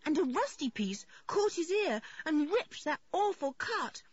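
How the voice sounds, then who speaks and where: A very high-pitched, hot-tempered young girl acted by a woman in a storybook reading, urgent and emotional. Her voice swoops wildly, and the recording sounds slightly dull. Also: big pitch swings, a little slow, no room sound. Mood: anxious. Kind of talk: audiobook character voice.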